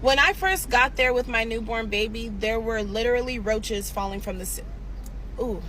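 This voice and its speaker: A woman's voice: on the high side, moderate pace, a bit bright, slight background noise, rough recording. A woman, telling a personal story in a candid, chatty way and talking straight to her viewers. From San Diego, California. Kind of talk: casual story time vlog.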